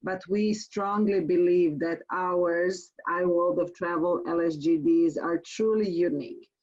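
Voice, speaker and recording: A woman from Croatia with a Croatian accent gives a webinar sales presentation as a tour company presenter, speaking haltingly with frequent pauses. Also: lowish voice, steady tone, a little mellow. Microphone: online webinar call. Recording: muffled, band-limited webinar call audio.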